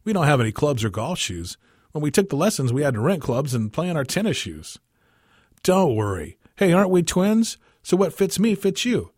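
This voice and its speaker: A man reads the part of a jovial businessman in his sixties from a storybook. He talks in a folksy, emphatic way with a husky voice, recorded dry and close. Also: a little high, sing-song, moderate pace, a little mellow, dry recording, pitched above the performer's own voice. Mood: animated, joking. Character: jovial 65-year-old businessman twin, game but joking. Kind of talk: storybook dialogue.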